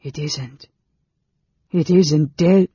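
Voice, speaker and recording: Man acting anguished young stranger, husky and high-strung. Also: a little slow, mellow, no room sound, exaggerated voice. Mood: fearful. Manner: anguished, gasping, whispering. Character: distraught, awestruck young male stranger grieving his sister. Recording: dull lo-fi recording, very dry.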